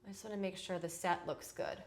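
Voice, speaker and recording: A woman hosting a live shopping stream, chatting casually while unboxing, her voice nasal and stuffy from a head cold, joking and teasing herself as she goes. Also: quick pace. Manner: chatty, jokey, self-teasing, lively swings in pitch and loudness.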